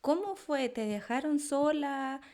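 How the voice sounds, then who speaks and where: A woman's voice: sits high, expressive, slow pace, mellow. A woman interviewing a guest in a conversational style, putting questions as the host.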